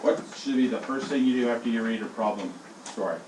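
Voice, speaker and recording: A male elementary school teacher leading his class in call-and-response, swinging his voice high and low as he drills them. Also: fast talker, darkish tone. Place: classroom, indoors. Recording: echoey classroom from far off, with noise.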